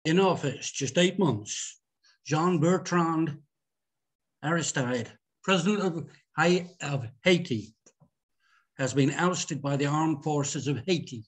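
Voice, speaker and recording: A man reading the part of a radio newsreader in a screen drama, slow and measured. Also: a little high. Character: male radio newsreader reporting a coup. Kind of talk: news bulletin read at a script table read.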